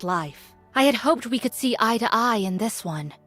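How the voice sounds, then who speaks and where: An actress playing a solemn, wise warrior woman in a video game, speaking in grave, formal, measured declarations. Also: a little high, lively intonation, a bit bright, no room sound, faint music behind. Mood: wistful, measured. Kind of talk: video game character voice lines.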